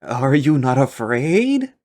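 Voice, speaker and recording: A man's voice: mid-range voice, big pitch swings, slow pace, darkish tone. A man voicing an arrogant male magus spirit who speaks in archaic, haughty declarations.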